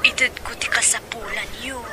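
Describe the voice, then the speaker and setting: A woman's voice: fairly high pitch, steady tone, moderate pace, background noise, lightly acted. A woman with an Ilocano accent playing a seductive, possessive mistress in a radio drama, coaxing and cooing. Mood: coaxing, flirtatious.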